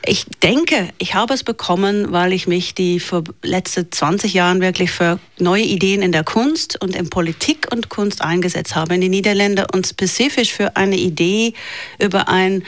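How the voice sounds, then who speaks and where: A woman's voice: fairly low pitch, moderate pace, no echo. Woman in her forties, Flemish accent, museum director, interview answers, hesitant, dry close sound. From Belgium. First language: West Flemish. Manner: searching for German words, hesitant.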